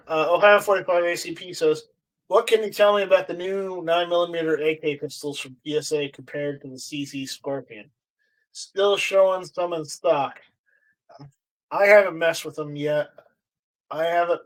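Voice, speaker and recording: A man hosting a live stream in casual chat, reading out viewer comments in a halting way and often repeating words. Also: a little high, steady tone, poor audio.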